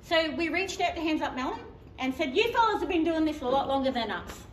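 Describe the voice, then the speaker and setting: A woman with a fairly high voice tells her group's story to a conference audience in a chatty, conversational way, with plenty of ums. She is picked up from a distance in an echoey hall, with faint noise behind her. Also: expressive, a little fast. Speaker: community member presenter. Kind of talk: conference presentation.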